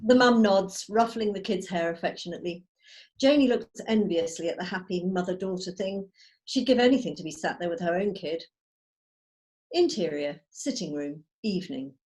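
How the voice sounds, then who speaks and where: A woman reading out screenplay stage directions as measured, storytelling narration. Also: on the low side, a little mellow, poor audio. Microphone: video call.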